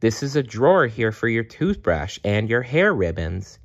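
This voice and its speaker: A man's voice: on the low side, very animated, normal speed. A man voicing a friendly, helpful female nurse from a storybook, kindly and welcoming, on a dull recording.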